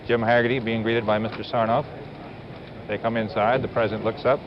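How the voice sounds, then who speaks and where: A man's voice: medium pitch, flat delivery, fairly quick, background noise. An American man reporting live outdoors as a 1950s television correspondent, describing the scene in short, clipped phrases on muffled old audio. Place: outdoors at a building entrance. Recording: muffled 1950s broadcast with music behind.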